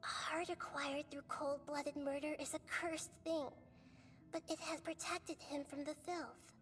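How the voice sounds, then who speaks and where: A woman voicing a gentle, wise young deity in a video game, speaking softly with measured, earnest storytelling. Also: sits high, little pitch movement, in a large hall, soft background music. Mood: solemn.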